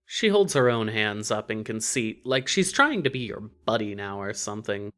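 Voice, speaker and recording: Expressive, lively fiction narrator. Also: medium pitch, normal speed. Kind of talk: scripted second-person story narration.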